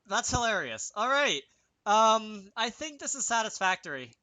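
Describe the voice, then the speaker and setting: A man walks through code in a casual tutorial, muttering his reasoning aloud and tossing in wry asides. His voice is forward and bright, slightly higher than average. The recording is dry and close, as from a desk microphone. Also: sing-song, moderate pace, no echo, low-quality recording. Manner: self-talking, wry asides. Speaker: video creator demonstrating game programming.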